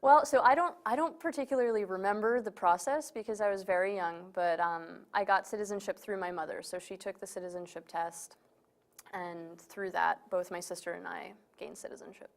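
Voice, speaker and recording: A woman's voice: medium pitch, fairly even intonation, a little fast. A young woman from New York City, born in Romania, answering casually as an interview guest. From born in Romania, raised in New York City. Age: young adult. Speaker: interview guest, community organizer.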